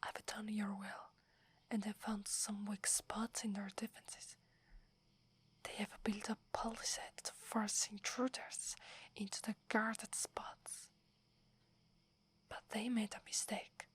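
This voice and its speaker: A young female werewolf warrior, acted by a woman with an Italian accent, devoted and humble before her leader. Her voice is a very breathy, airy whisper. She speaks slowly and deferentially, in the intimate style of an ASMR roleplay. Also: fairly high pitch, exaggerated voice. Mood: dutiful, reporting. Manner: slow, hushed, deferential.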